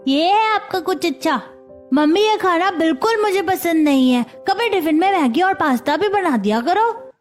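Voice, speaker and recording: A woman's voice: extremely high pitch, lively intonation, moderate pace, soft background music. A woman voicing a pleading, complaining, stubborn young schoolchild in a cartoon story. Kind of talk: Hindi cartoon story dialogue.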